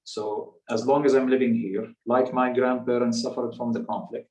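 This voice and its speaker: A man in his thirties with a Palestinian accent, a native Arabic speaker, telling a personal story in a measured, halting way. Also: mid-range voice, level tone, a little mellow. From West Bank, Palestinian territories. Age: 30s. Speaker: guest speaker. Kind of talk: personal storytelling in a public talk. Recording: echoey room, distant microphone.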